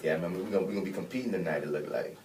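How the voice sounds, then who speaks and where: A man's voice: deep voice, racing, darkish tone, distant mic, slight background noise. A man from New hosting a radio show, talking casually with slang and frequent laughs. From New Orleans. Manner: laid-back, slangy, laughs often.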